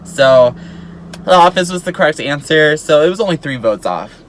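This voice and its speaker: A man's voice: a little high, animated, moderate pace, slight background noise. An eighteen-year-old man chatting casually to his viewers as a YouTuber, peppering his talk with "like" and "um". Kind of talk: casual vlog chat to camera.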